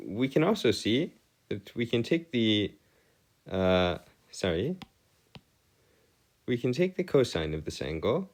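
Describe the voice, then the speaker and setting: A man giving an explanatory maths lesson as a tutor, with formal, wordy phrasing and halting, hesitant delivery. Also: low-pitched. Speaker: math tutor. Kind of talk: explanatory maths lesson over written working.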